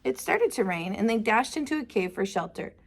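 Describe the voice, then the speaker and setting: A woman teacher reading a picture book aloud to children in an expressive storytelling style. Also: medium pitch, fairly quick. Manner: expressive, addresses young listeners. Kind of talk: animated read-aloud narration of a picture book.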